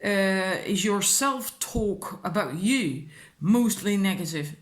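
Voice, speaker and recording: A Dutch woman speaks English as a second language with a Dutch accent. She takes her time, at an unhurried pace and a middle pitch. Her talk is informal, encouraging spiritual guidance that wanders into asides. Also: expressive. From Netherlands. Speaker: tarot card reader. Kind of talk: casual spiritual advice talk.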